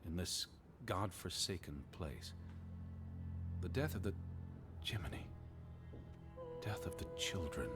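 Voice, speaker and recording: Deep, measured, anxious American naturalist. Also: male voice, exaggerated voice. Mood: somber. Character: earnest anxious American male naturalist searching for his sister. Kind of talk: video game voice acting. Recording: music behind the voice.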